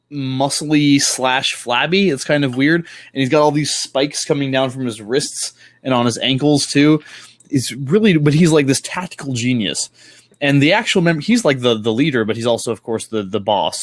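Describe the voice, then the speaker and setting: Man, podcast host, casual chat, halting and rambling, self-correcting, offhand swearing, ordinary mid-range pitch. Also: dry recording.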